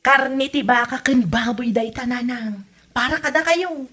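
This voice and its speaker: A woman playing a feisty, evasive village wife who is quick to retort, in an Ilocano comedy drama podcast with an Ilocano accent. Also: on the high side, fairly bright tone. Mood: evasive, reassuring.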